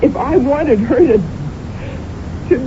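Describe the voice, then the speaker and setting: An actress playing an anguished, defensive, jealous wife in a radio mystery drama, speaking in a theatrical, halting way. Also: female voice, high-pitched. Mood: pleading, distressed. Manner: theatrical, halting, emotionally intense. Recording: noisy, narrow-band old radio recording.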